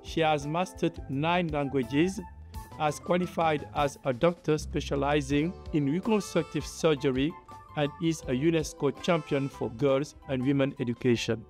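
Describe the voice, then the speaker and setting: Measured, formal male host in a studio, faint music bed. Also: fairly high pitch, darkish tone. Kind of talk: formal interview. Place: studio set.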